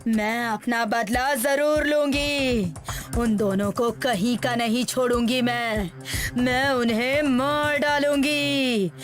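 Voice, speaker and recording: A defiant young ghost woman out for revenge, acted out by a female narrator. Her high voice is anguished and dramatic, made for a horror storybook, with clear music underneath. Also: fairly even intonation, slow. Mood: vengeful. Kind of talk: horror storybook character acting.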